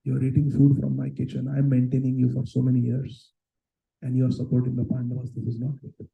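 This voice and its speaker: A man's voice: medium pitch, monotone, fast talker, creaky, narrow, tinny audio, close to an everyday voice. A man voicing a frustrated, scheming prince who pleads, insults and wheedles. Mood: insolent. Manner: pleading, then pushy and wheedling.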